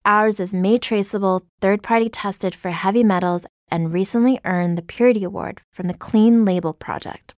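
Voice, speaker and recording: A woman's voice: medium pitch, steady tone, moderate pace, few pauses, a little mellow, no echo. American woman, dry close ad read. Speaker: company founder in an advertisement. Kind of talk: scripted personal ad testimonial. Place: dry close studio recording.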